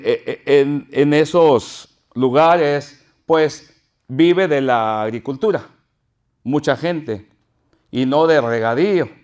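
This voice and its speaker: A man's voice: mid-range voice, clear, resonant tone. An older man with a northern Mexican accent, a pastor preaching and telling a folksy personal story with chuckles. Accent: Mexican Spanish, northern.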